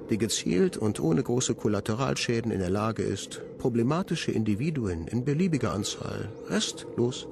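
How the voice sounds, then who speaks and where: A man playing a coldly clinical scientist who dictates a research report in formal, measured words in a radio drama. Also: mid-range voice. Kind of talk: scripted monologue in a radio drama.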